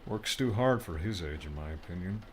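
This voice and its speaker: A man voicing a brisk, fatherly older man who teases and looks after others. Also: sits low, expressive, a little hiss or hum. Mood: wry. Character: older man, gruff caring guardian.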